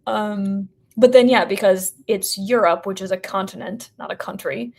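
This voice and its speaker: American woman, kinda creaky voice, chatting informally on a podcast, lots of likes and sort ofs. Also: medium pitch, normal speed, darkish tone. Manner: informal, hedging, frequent "like" and "sort of". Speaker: podcast guest, company representative. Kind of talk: casual interview conversation.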